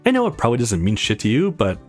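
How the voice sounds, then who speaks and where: Game ally: man as loyal young coach, earnest, supportive, mid-pitched, music underneath. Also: wildly swooping pitch, racing. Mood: proud.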